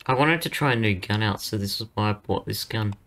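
A hoarse young man with a partly lost voice, chatting casually as he plays through a game and says "dude" a lot. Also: on the low side, brisk, a bit bright. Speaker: gaming channel host. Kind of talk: casual let's-play commentary.

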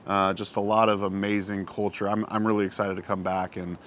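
A man in his thirties hosting a travel vlog, chatting casually outdoors and often filling pauses with "uh" and "yeah". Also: lowish voice, a little hiss or hum. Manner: relaxed, lots of "uh" and "yeah". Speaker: vlog host. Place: outdoors on a hillside above a small town.

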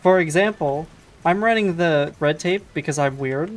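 A woman with a low voice, streaming a game and chatting casually with friends, restarting her sentences. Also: normal speed. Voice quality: low-pitched voice. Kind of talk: casual gaming chat with friends.

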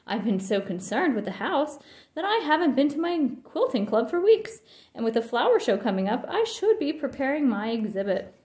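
Brisk mama bear, read by a woman, echoey. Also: sits high, big pitch swings, darkish tone. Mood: sly, agreeable. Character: mother bear, busy, brisk, sly homemaker.

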